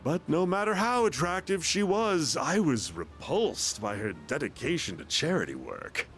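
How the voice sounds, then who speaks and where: A man's voice: fairly high pitch, sing-song, moderate pace, a bit bright, soft background music. A man playing a smug, boastful middle-aged businessman with an oily manner in a video game. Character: smug, greedy middle-aged businessman flaunting his wealth. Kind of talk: theatrical video game monologue.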